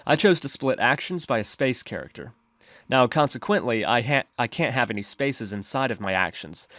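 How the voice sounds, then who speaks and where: A man's voice: mid-range voice, a bit bright, dry recording. A man narrating a programming tutorial in a methodical, instructional way.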